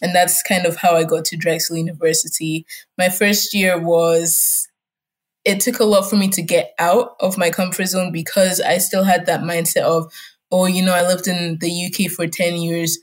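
A woman's voice: lowish voice, little pitch movement, normal speed. A young woman whose first language is German, a student guest who grew up in Germany and Scotland, telling her story reflectively, with fillers. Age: young adult. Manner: reflective, with fillers and soft laughs. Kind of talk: personal storytelling in an interview.

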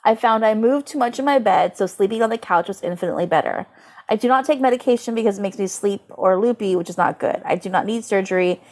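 A woman's voice: mid-range voice, quick pace, a little mellow. A woman co-hosting a podcast in casual comedic chat, talking informally and incredulously, sometimes reading aloud with drama. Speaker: podcast co-host.

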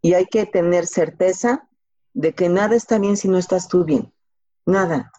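A woman's voice: lowish voice, moderate pace, mellow. A woman with a Mexican accent answering casually as an interview guest, colloquially, with examples and rhetorical questions, on a slightly lo-fi recording. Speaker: podcast guest giving career advice. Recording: slightly dull, lo-fi sound.

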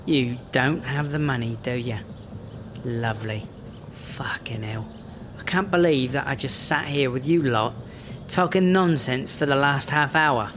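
A man's voice: mid-range voice, unhurried, noisy background, natural voice. A man playing a brash, foul-mouthed English smuggler in a sci-fi comedy audio drama, sardonic and slangy. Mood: exasperated.

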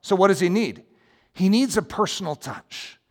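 A man preaching a sermon as pastor, animated and conversational, with asides to the congregation. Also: high voice, normal speed. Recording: long hall reverb.

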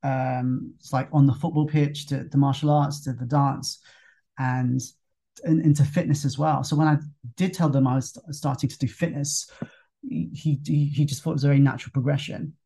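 A young man from with a gravelly, mellow voice talks through his story as an interview guest. He hesitates often, repeating words and filling pauses with "you know". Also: mid-range voice, fairly even intonation. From London, England. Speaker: guest, fitness instructor. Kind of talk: casual interview talk.

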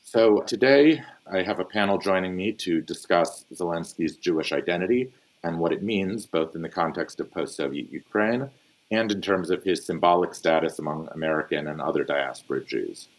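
A man hosting a podcast panel discussion, introducing guests in a measured, formal way. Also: deep voice. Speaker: podcast host, newsletter editor.

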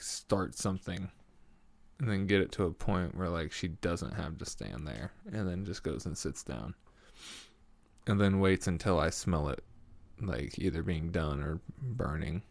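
A young man with a deep voice hosting a casual solo podcast, laid-back and swearing often, chuckling at his own stories. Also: measured pace, gravelly, darkish tone, no room sound. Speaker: podcast host. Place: small home room with foam on the walls. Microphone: studio mic at a desk.

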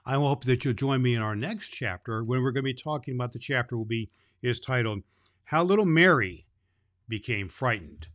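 A man's voice: medium pitch, lively intonation, moderate pace, a bit bright, natural voice. A man playing a kindly old Santa Claus who hosts a children's Christmas show, speaking cheerfully and emphatically in a folksy, fatherly way. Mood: gentle, storytelling. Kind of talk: storytelling and chat addressed to children.

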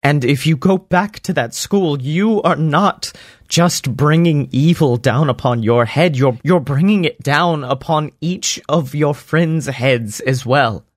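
A man voicing an earnest elven woman who gravely pleads and warns. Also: on the high side, slow pace, no room sound, studio quality. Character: earnest elven woman, frail-looking but strong.

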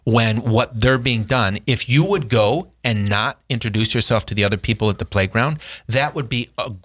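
Man in his forties, casual family chat, earnest with lively retellings; bright, forceful tone. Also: medium pitch, normal speed. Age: 40s. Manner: earnest, animated when telling stories. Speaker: brother in a family discussion. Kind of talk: casual reflective conversation.

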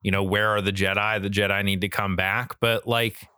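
A man's voice: on the low side, flat delivery, moderate pace, bright, forceful tone, smooth, dry recording, clean studio sound. A man co-hosting a podcast, chatting casually and emphatically in slangy, mildly profane language. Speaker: podcast co-host. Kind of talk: casual chat about video games and media.

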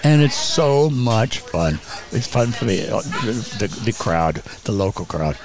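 An older man, a guest photographer in a casual interview, talking enthusiastically and rambling with a voice that is getting raspy. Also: fairly low pitch, sing-song, a little slow. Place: small bar.

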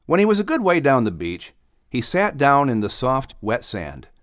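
A man's voice: mid-range voice, wildly swooping pitch, moderate pace, a bit bright, no room sound, clean studio sound. A man reading a children's story as a scripted audiobook narration.

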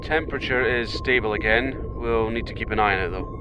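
An actor playing a cautious, fretful mission captain, stern and plain-spoken, in a sci-fi audio drama. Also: male voice, mid-range voice, expressive, brisk, noisy background, natural voice. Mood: watchful, dry.

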